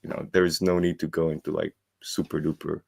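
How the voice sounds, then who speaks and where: Deep gravelly Croatian man. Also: level tone, a little fast, a crowd in the background. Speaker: guest commentator. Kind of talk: casual political discussion.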